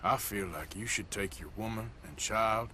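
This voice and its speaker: An actor playing a weary, resigned middle-aged American outlaw with a gruff voice in a western video game. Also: male voice, lowish voice, normal speed, pushed and bright, slight background noise. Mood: grave, weary. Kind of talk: dramatic video game acting.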